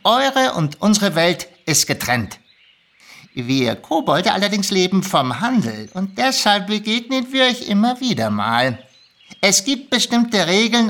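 An actor voicing a sly, easily irritated male kobold who haggles nonchalantly, in a lively storybook reading. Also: medium pitch. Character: grumpy, sly male kobold dealmaker, ancient magical creature. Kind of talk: lively audiobook character reading.

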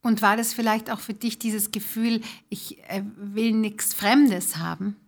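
A woman's voice: medium pitch, fairly even intonation, measured pace. A middle-aged woman hosting a podcast, asking questions in a conversational interviewing style. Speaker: host, interviewer.

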